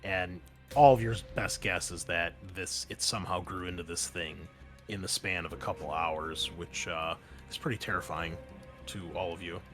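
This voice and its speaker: Low-voiced man running a tabletop game. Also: fairly even intonation, moderate pace, fairly bright tone, soft background music. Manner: casual, profane. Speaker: game master. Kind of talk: improvised narration of a tabletop roleplaying game.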